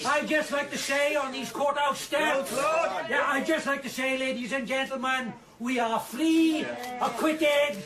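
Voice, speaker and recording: Shrill, crowing gangster speechmaker. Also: male voice, steady tone, reverberant, far from the mic, a crowd in the background. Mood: triumphant. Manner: declamatory, halting public speechmaking. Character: crowing, brazen acquitted male gangster addressing crowd. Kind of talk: radio drama acting.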